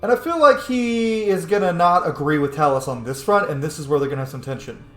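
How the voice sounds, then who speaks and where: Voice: medium pitch, fast, fairly bright tone. A gamer giving casual, opinionated playthrough commentary with some swearing. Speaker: gaming video host. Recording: echoey room, faint game music.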